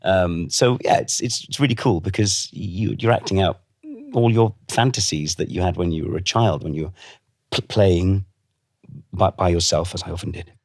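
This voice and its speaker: Low husky man. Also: a little mellow, dry recording, studio quality. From Wales. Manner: chatty, self-deprecating, halting restarts. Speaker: guest voice actor. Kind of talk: casual interview chat.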